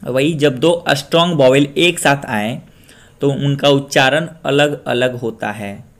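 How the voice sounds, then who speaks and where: A young man from Bihar, India, teaching a pronunciation lesson and repeating each word slowly for practice. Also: mid-range voice, fairly bright tone. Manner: slow, repeats words drill-style. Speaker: language teacher.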